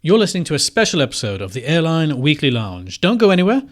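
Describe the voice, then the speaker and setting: A man's voice: a little high, sing-song, fast talker. A man hosting a podcast, reading scripted narration and introductions. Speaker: podcast host.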